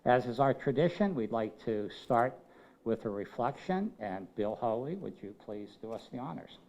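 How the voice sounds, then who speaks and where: A man's voice: medium pitch, animated, normal speed, a little mellow, muffled recording. A man who heads a regional health system, giving a plain, friendly welcome speech at a ribbon-cutting ceremony. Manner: plain, friendly, a little self-deprecating. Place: ceremony at a medical center with a crowd.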